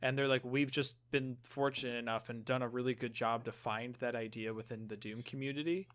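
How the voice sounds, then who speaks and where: A man's voice: medium pitch, steady tone, fairly quick, fairly bright tone. A man hosting a podcast in casual conversation, explaining things in a loose, rambling way and steering the talk along. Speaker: podcast host.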